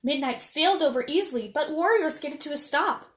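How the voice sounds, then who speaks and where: High-voiced woman reading a children's story. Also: fairly quick, echoey room. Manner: animated, acting out dialogue. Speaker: storyteller reading as a princess character.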